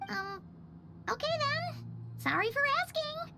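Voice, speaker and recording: A woman voicing a tiny, cheeky and excitable fairy companion girl with a squeaky voice in a video game, over background noise. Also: a little slow, gravelly, full character voice. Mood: sheepish, grumbling.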